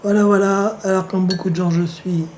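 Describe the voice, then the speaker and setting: A hoarse man with the flu, speaking at home in a rambling, sardonic monologue full of hesitations. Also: high voice, little pitch movement, fairly quick, a little mellow, a little hiss or hum, poor audio. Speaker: vlogger.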